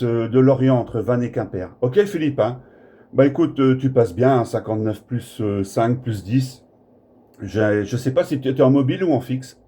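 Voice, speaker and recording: A man's voice: mid-range voice, mellow. A French man chatting as a radio operator in a blunt, colloquial, rambling way. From Brittany, France. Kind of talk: casual radio contact chat. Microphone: smartphone recording in the room. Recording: clear faint background noise, smartphone recording.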